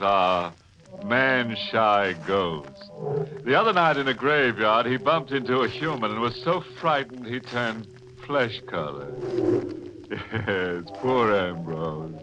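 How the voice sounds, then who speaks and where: A man playing a ghoulish, mock-genial host of an old radio horror show, with a drawn-out theatrical delivery. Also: lowish voice. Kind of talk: 1940s radio horror narration. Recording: dull old radio recording with music.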